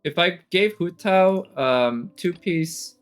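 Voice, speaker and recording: A man's voice: high-pitched, animated, slow pace, smooth, faint music behind. A man giving casual, off-the-cuff commentary as a streamer, analytical and hesitant as he thinks aloud. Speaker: reaction streamer.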